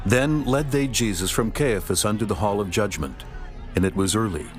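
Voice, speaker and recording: A man's voice: on the low side, normal speed, faint music behind. A man narrating dramatized scripture in a solemn, formal manner.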